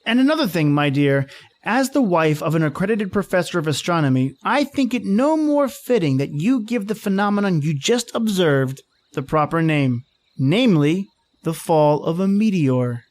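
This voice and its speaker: A man's voice: high-pitched, very animated, normal speed. A man playing a teasing, romantic astronomy professor husband in a radio drama, with playfully pompous, wordy delivery. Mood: playfully pedantic. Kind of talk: old-time radio drama acting.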